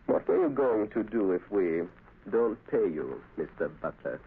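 Old, thin-sounding radio recording of a villainous doctor. His voice is low and slightly rough. He speaks formally and deliberately, with silky, polite menace. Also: big pitch swings, exaggerated voice. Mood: menacing. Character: suave, sinister male doctor and sabotage mastermind. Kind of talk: 1930s radio drama acting. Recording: muffled scratchy 1930s radio recording.

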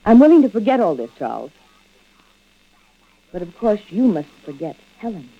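An actress playing a composed, suspicious wronged wife in a vintage radio thriller, with a deliberate, theatrical delivery. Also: female voice, mid-range voice, very animated. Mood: composed, forgiving. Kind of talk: 1940s-50s radio drama acting. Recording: dull vintage radio recording.

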